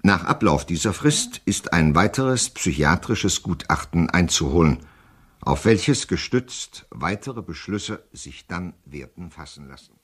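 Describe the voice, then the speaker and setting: Low, husky, formal psychiatric expert. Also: male voice, big pitch swings, a bit bright, heavily acted. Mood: formal, matter-of-fact. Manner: formal, measured, officious. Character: formal male psychiatric expert delivering his report. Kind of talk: dramatised official report in an audio play.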